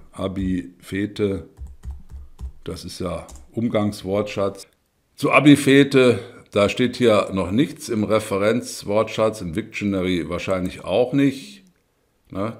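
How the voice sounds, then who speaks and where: A man talking through a spreadsheet in a screen-recorded tutorial, explaining step by step with frequent fillers and tag questions. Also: deep voice. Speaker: instructor.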